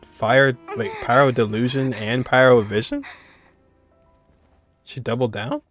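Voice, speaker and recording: A man's voice: mid-range voice, steady tone, unhurried, a bit bright, soft background music. Casual slangy man reacting to a game. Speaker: gaming streamer.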